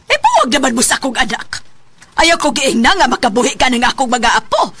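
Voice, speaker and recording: A woman's voice: high voice, big pitch swings, a little fast, fairly bright tone, breathy edge, exaggerated voice. A woman with a Cebuano accent playing a stern, scornful grandmother in a radio drama. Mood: angry, demanding.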